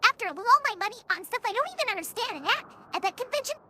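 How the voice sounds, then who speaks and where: A woman's voice: very high voice, expressive, very fast. A synthetic female voice playing a bubbly young cartoon pony detective in a fan audio drama. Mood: dry, exasperated. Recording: clear background noise, clicks.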